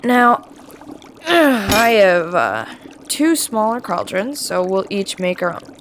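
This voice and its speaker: A woman's voice: mid-range voice, very animated, takes it slowly, a little hiss or hum. A woman voicing a mischievous male trickster witch who teaches potion-making in an audio roleplay. The witch snickers, teases and stumbles over hesitant fillers. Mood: playful, sly. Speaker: potion tutor.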